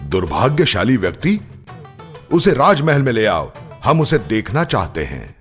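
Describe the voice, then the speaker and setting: A man playing an imperious, declamatory Mughal emperor in a children's animated story. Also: mid-range voice, a little slow, soft background music. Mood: stern, regal. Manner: regal, declamatory. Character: imperious Mughal emperor, easily alarmed, commanding.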